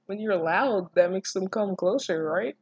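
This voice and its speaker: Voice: medium pitch, unhurried, husky, no room sound, lo-fi sound. A person reading fan fiction aloud casually, breaking off with mocking, incredulous, sweary commentary. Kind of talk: casual reaction reading with sarcastic asides.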